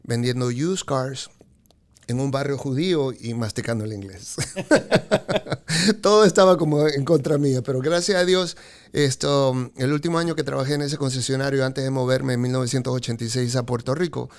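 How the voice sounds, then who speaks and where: A man's voice: medium pitch, normal speed. A man in his fifties, a guest on a podcast, telling his career story with many fillers and a habit of saying "correcto". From Peru. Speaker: podcast guest, car dealership expert. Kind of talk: casual interview storytelling.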